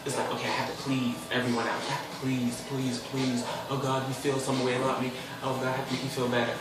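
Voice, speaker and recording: A man in his twenties hosting his own casual talk show, chatty and expressive, with sudden high squeals. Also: fast, far from the mic. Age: 20s. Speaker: host. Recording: echoey room, voice a bit off the mic.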